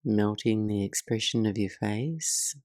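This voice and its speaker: A woman leading a guided meditation as the teacher, speaking in a soothing, measured way with soft pauses. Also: very deep voice, no echo, clean studio sound.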